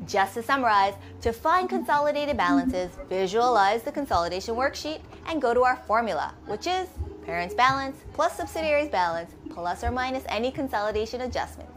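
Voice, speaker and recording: A woman's voice: fairly high pitch, very animated, brisk, fairly bright tone, soft background music. A woman instructor narrating a scripted lesson step by step, methodically, posing questions and answering them herself. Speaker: accounting instructor.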